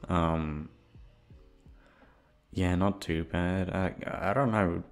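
A man's voice: deep voice, very slow, soft background music. A young man chatting informally to his listeners, meandering and swearing freely. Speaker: audio roleplay voice actor. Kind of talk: casual rambling chat to listeners.

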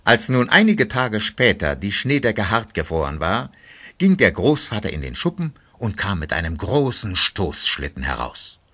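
A male narrator tells a children's story in scripted audio-drama style. His voice is fairly low, with very lively intonation. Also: normal speed.

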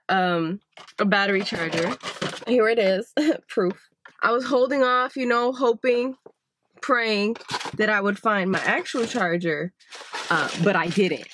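A woman's voice: mid-range voice, a bit bright, slight background noise. Chatty congested young woman vlogging. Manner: chatty, rambling, addresses viewers as y'all. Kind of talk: casual vlog talk to camera. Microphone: handheld camera at arm's length.

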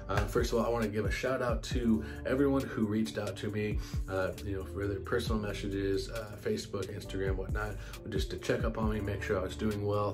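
A man hosting a fragrance vlog, talking casually with a stuffy, congested nasal voice from a cold. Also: fairly low pitch. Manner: casual and chatty, many fillers, apologetic. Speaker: fragrance channel host. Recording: music playing clearly behind.

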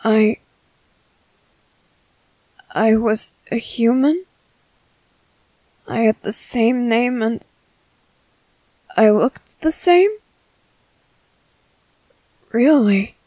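A woman playing a gentle, worried young merboy in an ASMR roleplay, speaking softly and hesitantly to comfort someone. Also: on the high side, drawn-out words, long pauses, no room sound, poor audio. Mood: confused, hesitant. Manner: soft, hesitant, soothing.

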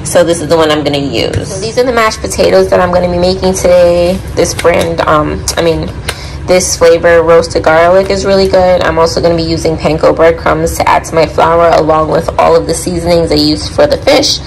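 A young woman talks through her cooking in an informal, conversational way. Her voice sits a little low, and the phone recording from a home kitchen carries background noise. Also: steady tone, a little fast, gravelly, rough recording. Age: young adult. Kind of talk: casual cooking vlog chat and walkthrough. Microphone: phone at arm's length.

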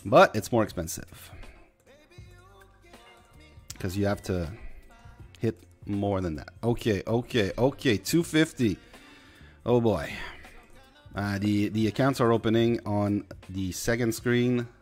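Young man, light Quebec French accent, relaxed rambling streamer commentary over faint background music. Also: on the low side, slow, long pauses. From Quebec, Canada. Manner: rambling, chatty, talks to chat. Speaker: game streamer. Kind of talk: casual gameplay commentary.

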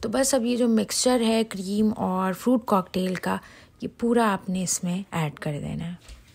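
A woman's voice: mid-range voice, a little slow, gravelly, a little mellow. Chatty woman giving recipe instructions. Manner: chatty, addresses viewers directly. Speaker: home cooking channel host. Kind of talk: casual recipe voice-over tutorial.

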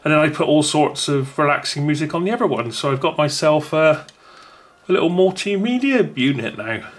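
A man's voice: a little high, animated, brisk. A man rambling casually as he reviews a small gadget at home, with frequent restarts. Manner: rambling, chatty, many restarts. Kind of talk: casual unboxing talk.

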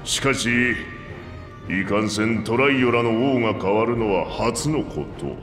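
A man playing an aging two-headed reptilian king in a video game, commanding, frank and gruff in his manner. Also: mid-range voice, unhurried, fairly bright tone, lightly acted. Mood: frank, wry. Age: older. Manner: gruff, regal, plain-spoken old-ruler speech. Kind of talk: Japanese video game voice acting. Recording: echoey hall reverb, background music.

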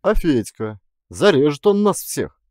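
A man's voice: fairly high pitch, measured pace, no room sound, clean studio sound, voice pushed up. A man voicing an agitated, blustering bargeman who scolds and grumbles, in a theatrical audiobook style. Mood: indignant complaining. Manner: shouting, scolding, excitable. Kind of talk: theatrical audiobook character acting.

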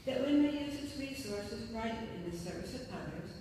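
An older woman reading the prayers of the people aloud in a small chapel, steady and formal. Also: on the high side, moderate pace, a little hiss or hum. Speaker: lay reader leading prayers. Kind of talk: reading intercessions. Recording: distant mic, echoey hall.

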